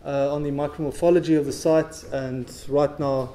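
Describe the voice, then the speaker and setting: A middle-aged man presents research at a podium in a big, dark auditorium. His voice is mellow and sits a little high. He talks in a measured, lecturing way and fills his pauses with uh. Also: level tone, a little hiss or hum. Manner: measured lecturing with frequent uh fillers. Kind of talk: conference presentation. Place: stage of a large dark auditorium.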